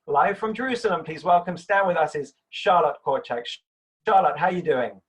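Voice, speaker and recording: A man's voice: on the high side, brisk, slightly rough. A man hosting a live webinar, giving a formal, scripted introduction of the guest speaker. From Britain. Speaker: webinar host.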